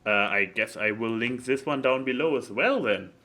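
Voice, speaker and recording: A man's voice: medium pitch, moderate pace, pushed and bright. A man hosting a podcast in casual banter, joking and teasing as he talks. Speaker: podcast host.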